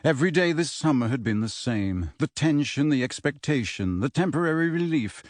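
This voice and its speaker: A man's voice: mid-range voice, expressive, moderate pace, dry recording, clean studio sound. A man reading a novel aloud as scripted audiobook narration.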